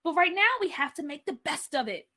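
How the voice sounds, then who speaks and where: Woman as an eager, high-voiced schoolgirl. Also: lively intonation, a little fast, pushed and bright, heavily acted. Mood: reassuring. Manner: upbeat, coaxing. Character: eager, take-charge schoolgirl rallying her friends.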